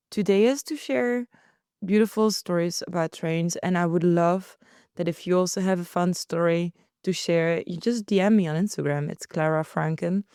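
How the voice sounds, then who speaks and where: Relaxed Belgian woman podcasting from home. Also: fairly low pitch, smooth, no room sound, clean studio sound. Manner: relaxed, chatty, laughs easily. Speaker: podcast host. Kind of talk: casual solo podcast chat. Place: living room at home.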